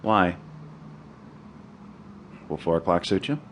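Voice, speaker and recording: An actor playing a shrewd, unflappable American defense lawyer in a radio drama, with a clipped, questioning manner. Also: male voice, deep voice, lively intonation, slow, noisy background. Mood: measured. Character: shrewd, cool male criminal defense lawyer.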